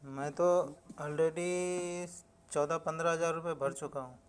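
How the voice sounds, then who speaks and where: A man's voice: fairly high pitch, steady tone, takes it slowly, smooth. A man with an Indian accent, a customer on a recorded phone call, casually pressing with plain-spoken questions.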